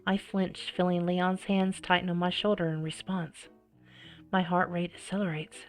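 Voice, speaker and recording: A woman narrating in first person as a flustered, anxious high schooler in a visual novel. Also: little pitch movement, normal speed, soft background music, natural voice. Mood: tense.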